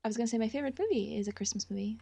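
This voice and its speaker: A woman co-hosting a podcast in casual chat, giggly and quick to interject. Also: fairly high pitch, vocal fry, heavy background noise. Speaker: podcast co-host.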